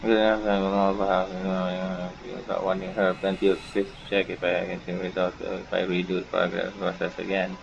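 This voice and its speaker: Low gravelly man doing game commentary. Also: monotone, brisk, few pauses. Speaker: gaming YouTuber. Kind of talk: casual playthrough commentary, reading game text aloud. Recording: dull, noisy home gaming recording.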